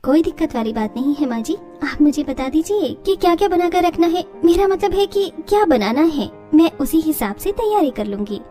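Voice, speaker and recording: Clever young daughter-in-law, played by a woman narrator, high voice, polite, self-assured, storybook tale. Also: lively intonation, normal speed, hardly any pauses, mellow, soft background music. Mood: confident. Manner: polite, confident.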